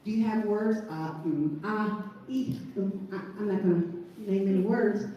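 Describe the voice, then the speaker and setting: A woman with a deep Southern accent giving a chatty, animated talk at a live event. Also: measured pace, highs cut off, a little hiss or hum. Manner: chatty and animated, laughs at herself. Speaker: event speaker. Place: large event hall. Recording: echoey hall, distant mic.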